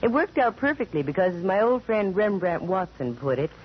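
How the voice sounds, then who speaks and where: A woman's voice: mid-range voice, very animated, brisk, slight background noise, close to an everyday voice. Woman acting a wry girl detective, vintage radio. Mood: wry narrating. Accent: American. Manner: dry wisecracking, wry. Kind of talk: 1940s radio acting, first-person narration. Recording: muffled vintage 1940s radio broadcast.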